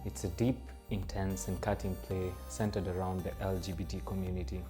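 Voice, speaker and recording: Young kenyan guy, deep flat voice, calm interview, bit of music behind. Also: brisk. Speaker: student playwright and director.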